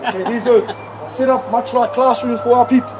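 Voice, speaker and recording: High-voiced New guide teaching words. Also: male voice, steady tone, fairly quick. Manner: instructive, leads repetition. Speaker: cultural village guide. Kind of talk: teaching visitors, call and response. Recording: noisy live recording.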